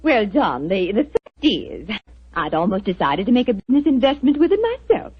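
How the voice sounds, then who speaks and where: A sweet young wife who coaxes gently and hesitates when unsure. Her pitch rises and falls a great deal, and her voice is very breathy. It comes through a dull 1940s radio recording. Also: very animated, moderate pace. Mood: coaxing.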